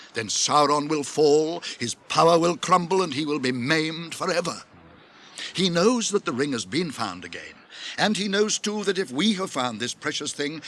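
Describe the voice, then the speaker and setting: An older actor playing a wise and commanding old male wizard who speaks in a grave, measured way, in an old radio drama. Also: fairly high pitch, fairly bright tone, exaggerated voice. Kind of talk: 1980s radio drama acting.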